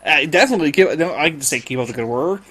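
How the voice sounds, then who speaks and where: Male podcast host, fairly high voice, casual, loosely rambling delivery. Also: expressive, fast, pushed and bright. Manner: rambling, self-interrupting. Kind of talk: casual chat.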